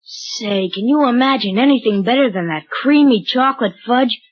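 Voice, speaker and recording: Husky, higher-voiced American radio ad woman. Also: moderate pace. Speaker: radio advertising presenter. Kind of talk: 1930s radio advertising pitch. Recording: muffled 1930s radio recording.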